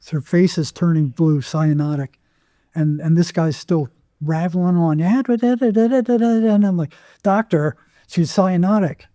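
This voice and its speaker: An older man speaks as a therapist being interviewed, recounting things conversationally. His voice sits a little high yet has a very mellow, muted tone. He halts and repeats words as he goes, and the recording is dry and close. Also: no room sound. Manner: halting, repeats words, acts out remembered exchanges. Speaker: psychotherapist guest.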